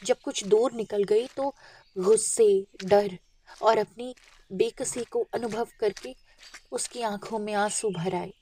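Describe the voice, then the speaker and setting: Husky, unhurried woman narrating a story. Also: mid-range voice, mellow. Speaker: audiobook narrator. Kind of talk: expressive literary story reading.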